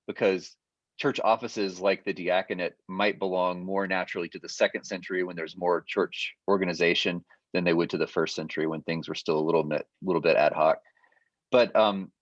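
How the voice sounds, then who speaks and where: A man with a fairly low voice gives an adult education lecture over a video call. He explains at length and pauses on fillers as he goes. The audio is dry and a little muffled. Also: no echo. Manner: explanatory, hesitant with frequent fillers.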